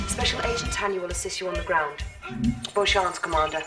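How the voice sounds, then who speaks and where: A woman's voice: fairly low pitch, fairly quick, lightly acted. A woman playing a dutiful intelligence officer who gives earnest briefings in a video game. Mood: businesslike. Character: crisp, dutiful female military intelligence officer. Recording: slightly distant, music behind.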